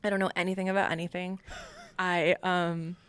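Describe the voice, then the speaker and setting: An American woman telling a personal story in a casual, candid and animated way as an interview guest. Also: on the low side, moderate pace, clean tone, no room sound. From Long Island, New York, United States. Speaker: podcast guest, military veteran.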